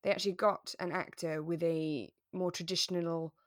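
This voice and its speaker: A woman chatting casually as co-host of a relaxed podcast. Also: fairly low pitch, moderate pace, no room sound, studio quality. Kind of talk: relaxed casual chat about a radio drama.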